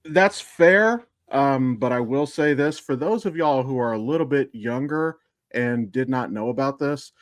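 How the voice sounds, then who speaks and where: A man with a medium-pitched voice chats as a podcast co-host. He talks in a loose, informal fan-discussion style and swears freely. The sound is dry, with very little room in it. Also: a little slow, no echo. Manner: informal, profane.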